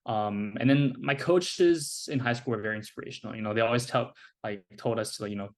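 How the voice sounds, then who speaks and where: A young American man in his twenties, a guest on an interview podcast, talking in a relaxed, casual way with lots of "like" and "you know". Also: medium pitch, fairly quick. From United States. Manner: relaxed, filler-heavy speech with many "like" and "you know".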